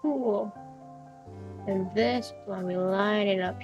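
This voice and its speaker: A woman's voice: mid-range voice, slow, dull audio, music in the background. A woman chatting and muttering casually as she plays a game, playfully grumbling and swearing. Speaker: streamer's companion playing a game. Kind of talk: casual chat and muttering while gaming.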